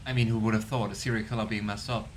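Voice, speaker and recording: A young man casually commenting on a game he plays, musing aloud and unsure, often trailing into low mumbles. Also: level tone, fast talker, a little hiss or hum. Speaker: let's play host.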